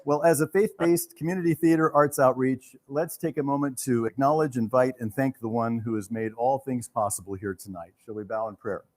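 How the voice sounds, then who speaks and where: A man's voice: medium pitch, steady tone, brisk, hardly any pauses, darkish tone. A man speaking earnestly and reverently as host of a live theater event, giving a welcome speech and prayer.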